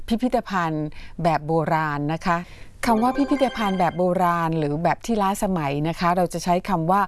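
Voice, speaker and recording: Brief: female presenter, rapid-fire delivery, polite formal narration, dry close sound. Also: mid-range voice, a little mellow, dry recording. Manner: polite, formal with repeated courtesy particles. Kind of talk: scripted bilingual narration.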